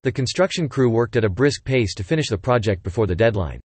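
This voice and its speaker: Guy reading practice sentences super fast, dry close sound, middle pitch. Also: slightly rough, no room sound. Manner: even, clipped reading at high speed. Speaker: example sentence reader. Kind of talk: scripted sentence reading for English listening practice.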